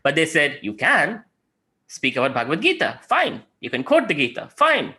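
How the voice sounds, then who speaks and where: Man, 30s, non-native English speaker, bright tone, storytelling preacher with emphatic peaks. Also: fairly high pitch, huge pitch swings, normal speed. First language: not English. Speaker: guest speaker. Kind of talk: sermon with personal stories.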